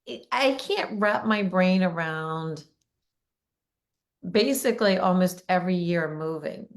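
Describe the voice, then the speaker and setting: Casting: raspy middle-aged female host, chatty, fast bursts, frequent laughs. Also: on the low side, animated. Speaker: podcast host, realtor. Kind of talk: casual conversational podcast.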